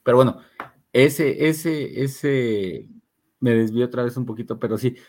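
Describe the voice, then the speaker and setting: A man's voice: mid-range voice, a little slow, darkish tone. A young man with a Mexican Spanish accent talking about films in casual podcast chat, slangy and meandering with hesitant fillers. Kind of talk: casual chat, film discussion.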